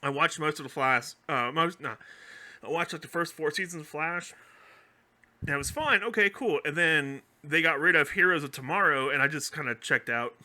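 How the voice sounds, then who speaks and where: A man's voice: a little high, moderate pace, bright, forceful tone. A man hosting a podcast in casual chat, rambling and opinionated in slangy language. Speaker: podcast host.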